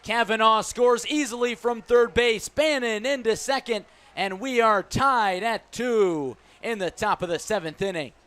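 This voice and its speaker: Higher-pitched male baseball play-by-play announcer. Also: measured pace, hardly any pauses. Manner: descriptive, excited calls rising on big plays. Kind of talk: live radio baseball commentary. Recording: clear background noise at ballpark. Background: ballpark ambience.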